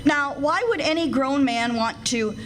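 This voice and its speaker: A woman's voice: sits high, unhurried. A woman playing a sensible, long-suffering wife who chides in a folksy way in an old-time radio comedy. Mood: scolding. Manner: chiding, folksy, patient. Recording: faintly noisy live stage recording.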